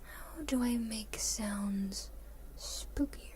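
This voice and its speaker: Woman, breathy whisper, very slow pace, casual ASMR streamer, close microphone. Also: a little high, darkish tone. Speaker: ASMR livestreamer chatting with viewers.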